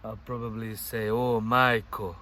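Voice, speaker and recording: Middle-aged guy thinking out loud, lowish voice, lots of outdoor noise and traffic behind him. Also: flat delivery, measured pace. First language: Bosnian. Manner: rambling and musing, with many "you know" fillers and soft laughs. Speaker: vlogger. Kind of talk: casual reflective monologue. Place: outdoors on a bench by a lake.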